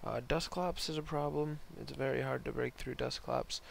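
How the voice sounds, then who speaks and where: A young man with a hoarse, worn voice giving casual, rambling, slangy commentary on an online game battle. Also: mid-range voice, fairly even intonation, normal speed, slight background noise. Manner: rambling, slangy, laid-back. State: hoarse, voice partly lost from concert the night before.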